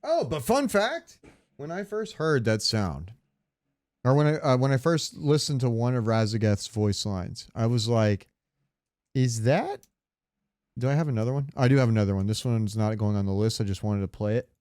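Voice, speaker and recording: A man's voice: mid-range voice, lively intonation, moderate pace, a little mellow, dry recording. A man hosting a podcast, chatting casually in a rambling, profane, enthusiastic way on a close microphone. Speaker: podcast host. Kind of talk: casual chat about video games.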